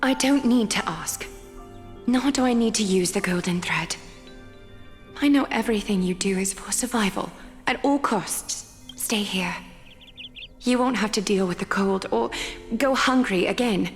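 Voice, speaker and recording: A woman voicing a serene, caring noblewoman seamstress and demigod in a video game, speaking in a measured, tender, formal manner. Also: medium pitch, close to an everyday voice. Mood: tender, assured. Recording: long hall reverb over music.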